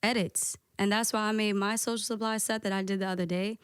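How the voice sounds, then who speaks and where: A woman DJ guesting on a podcast, talking casually and candidly with a soft voice, peppering her talk with "like" and swearing. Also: mid-range voice, steady tone, fast, clean tone, no echo, clean studio sound. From United States. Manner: candid, lots of "like" and profanity.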